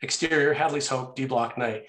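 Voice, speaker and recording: A man's voice: mid-range voice, normal speed, fairly bright tone. A man narrating screenplay action and scene headings as a scripted read. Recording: echoey room sound.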